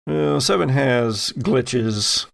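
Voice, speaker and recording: Slow, gravelly man narrating a game. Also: medium pitch, expressive. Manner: dramatic storytelling mixed with casual asides. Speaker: game master of an actual-play podcast. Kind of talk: narrating a tabletop roleplaying game.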